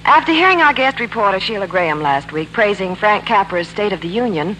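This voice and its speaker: A woman's voice: medium pitch, expressive, a little fast, pushed and bright. A woman reporter in scripted 1940s radio banter and advertising, polished and enthusiastic. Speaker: Hollywood reporter and product pitchwoman. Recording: noisy, humming 1940s radio broadcast.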